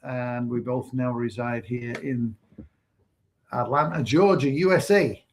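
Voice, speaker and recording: A man from England co-hosting a casual business livestream, speaking hesitantly with many pauses and fillers. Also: medium pitch, a little hiss or hum. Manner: hesitant, many fillers and restarts, softer than co-host. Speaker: online business mentor and livestream co-host.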